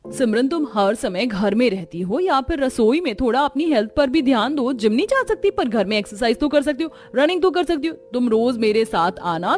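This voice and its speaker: A woman voicing an educated, health-obsessed young daughter-in-law in a cartoon story, earnest and lecturing. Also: on the high side. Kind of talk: cartoon story character acting. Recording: music under the voice.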